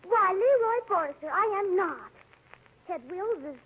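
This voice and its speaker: A woman's voice: extremely high pitch, animated, a little slow. A woman playing a prim, patient young big sister of about twenty in 1940s radio comedy. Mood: patient, defensive. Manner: prim, corrective, flustered at times. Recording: dull noisy 1940s radio recording.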